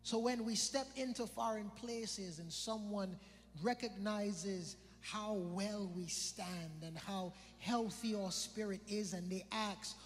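Brief: male preacher, very high voice, chatty and joking, echoing hall with soft music underneath. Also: slow. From British Virgin Islands. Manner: conversational, joking, repeats phrases for emphasis. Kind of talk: sermon. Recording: long hall reverb with faint music.

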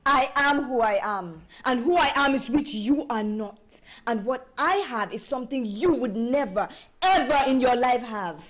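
A woman's voice: on the high side, expressive, normal speed, few pauses, slight background noise, lightly acted. An actress playing a haughty, hot-tempered young woman in a film drama, confrontational and boastful with theatrical insults. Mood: defiant, boastful. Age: young adult.

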